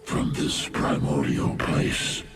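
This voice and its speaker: A man voicing an ancient, solemn primal alien elder in a video game, speaking in portentous pronouncements with a rumbling, breathy growl. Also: wildly swooping pitch, takes it slowly, heavily acted. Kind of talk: video game cinematic acting. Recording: loud music behind. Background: loud game soundtrack.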